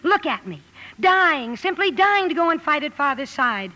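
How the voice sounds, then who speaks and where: A woman's voice: sits high, very animated, normal speed, sharp tone, heavily acted. A woman playing a blunt, fiery teenage tomboy with writerly ambitions in a 1950s radio drama, given to theatrical exclamations. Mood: dramatic, determined. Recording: vintage 1950 radio broadcast.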